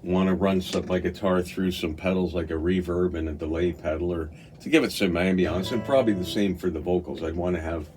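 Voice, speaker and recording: A man's voice: low voice, steady tone, a little fast, hardly any pauses, darkish tone. A man casually reviewing music gear, thinking aloud in a hesitant, unscripted way. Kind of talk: casual unboxing and gear demo. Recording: clearly noisy room recording.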